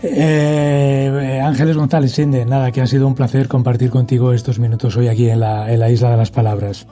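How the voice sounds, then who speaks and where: A man's voice: medium pitch, flat delivery, brisk, dark tone, soft background music. A man hosting a podcast, closing an interview and the show in a friendly way. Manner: friendly, brief affirmations. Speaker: podcast host.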